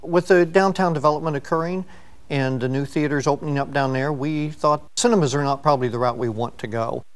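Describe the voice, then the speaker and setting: A man's voice: on the high side, fairly quick. A man who manages an entertainment venue, explaining plans in an interview.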